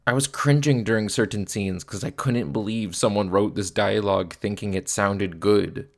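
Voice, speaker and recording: Low, creaky-voiced man reviewing. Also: normal speed. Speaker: video reviewer. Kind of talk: casual scripted movie review narration. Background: faint background music.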